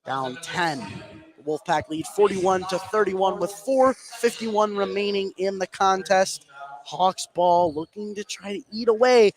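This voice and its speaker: A man calling live high school basketball play-by-play from an indoor gym, running through the action and getting excited on big plays. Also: unhurried. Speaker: play-by-play commentator. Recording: noisy live gymnasium ambience.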